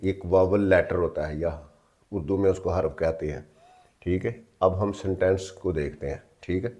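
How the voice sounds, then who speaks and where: A man's voice: low voice, moderate pace, a little mellow. A man teaching English grammar in a patient, repetitive way, spelling words out letter by letter and checking in often with listeners. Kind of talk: explanatory lesson in mixed Hindi-Urdu and English.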